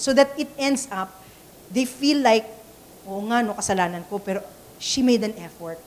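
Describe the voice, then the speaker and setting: A woman's voice: medium pitch, a little mellow, slight background noise, low-quality recording. A Filipina woman with an Ilonggo accent giving a conversational training talk, switching between English and Tagalog and repeating words as she thinks. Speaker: church event trainer.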